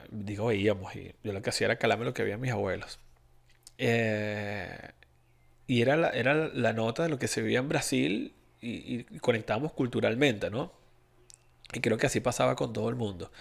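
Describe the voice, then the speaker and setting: Gravelly Venezuelan man hosting a podcast. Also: medium pitch. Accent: Venezuelan Spanish. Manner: rambling, many restarts and fillers. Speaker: podcast host. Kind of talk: casual solo podcast monologue.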